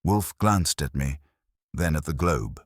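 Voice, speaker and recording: A man's voice: extremely low pitch, lively intonation, takes it slowly, dry recording, studio quality. A man narrating a first-person detective story in a wry, dramatic storytelling manner. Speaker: audiobook narrator.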